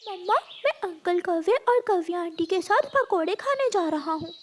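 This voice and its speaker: A woman's voice: very high voice, animated, normal speed. A woman voicing an innocent little boy sparrow in a children's cartoon, polite and trusting.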